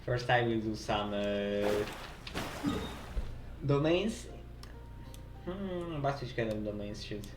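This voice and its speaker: A man giving casual live commentary on a game as a streamer, haltingly repeating phrases as he thinks aloud. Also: fairly low pitch. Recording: roomy sound with game music. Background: video game music.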